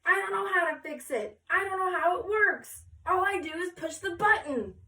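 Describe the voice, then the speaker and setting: A woman voicing an excitable male school photographer in a children's story, pleading and yelling with syrupy baby talk. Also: very high-pitched, normal speed, pitched above the performer's own voice, exaggerated voice. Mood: panicked. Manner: yelling, begging, sweet-talking with baby talk. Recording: roomy echo, distant mic.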